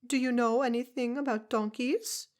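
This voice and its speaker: A woman's voice: a little high, fairly even intonation, normal speed, darkish tone, voice pushed up, lightly acted. A woman voicing a tiny, brusque foreign herbalist woman who speaks in clipped broken English with a foreign accent. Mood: gruff, pushy. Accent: foreign, unplaced.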